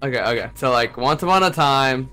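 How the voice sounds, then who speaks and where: A young man telling a joking story in game chat, slangy and animated. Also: mid-range voice, brisk, very noisy.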